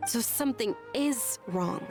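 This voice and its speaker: A woman voicing a gentle, perceptive young noblewoman in a video game, polite and soft-spoken. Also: on the high side, animated, measured pace, music in the background. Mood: gently concerned.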